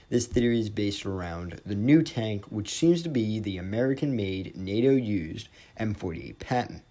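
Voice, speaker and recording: Young man with a lowish voice. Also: dark tone. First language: not Norwegian. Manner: hesitant, lots of ums and restarts. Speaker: lore theory video host. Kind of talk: game lore narration.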